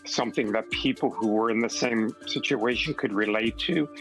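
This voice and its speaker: A man speaking candidly as an interview guest, earnest and reflective, with halting restarts. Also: on the low side, a bit bright, faint music behind, rough recording. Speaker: magazine editor, interview guest.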